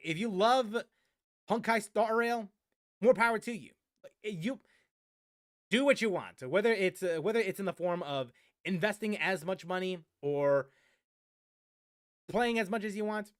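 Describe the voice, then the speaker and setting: High-pitched, animated man chatting on stream. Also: normal speed, pauses often, no room sound, clean studio sound. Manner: rambling, repeats phrases for emphasis, casual swearing. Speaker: gaming streamer answering viewers. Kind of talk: casual livestream chat about games.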